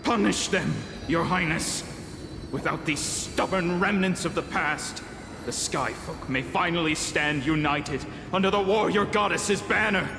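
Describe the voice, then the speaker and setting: An actor playing a fawning, zealous male disciple who urges his ruler to punish his rivals, with a gravelly voice. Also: fairly high pitch, unhurried, background noise. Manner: pleading then scornful, urging. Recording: echoey reverb with game music.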